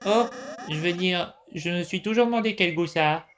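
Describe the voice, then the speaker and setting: A woman's voice: on the low side, normal speed, soft background music, close to an everyday voice. A woman voicing a naive, cheerful young boy creature in an amateur video game comic dub, eager and childlike. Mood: eager, playful.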